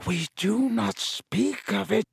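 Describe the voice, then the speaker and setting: A man voicing an ancient giant male spider in a storybook, speaking in a slow, solemn, formal way with grave menace. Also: a little high, husky, dry recording, clean studio sound, pitched above the performer's own voice, exaggerated voice. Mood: fierce.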